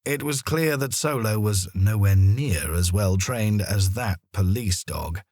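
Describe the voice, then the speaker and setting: A man narrating a children's story in an expressive storytelling manner, with deliberate pauses. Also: on the low side, no echo, studio quality. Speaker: audiobook narrator.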